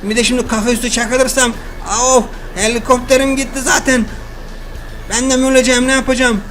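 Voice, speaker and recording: A boastful, scheming rich man who whines when things go wrong, played by a man in a toy cartoon. His voice is pitched fairly high. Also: flat delivery, brisk, fairly bright tone, soft background music. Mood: whining. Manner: boastful, whiny when upset.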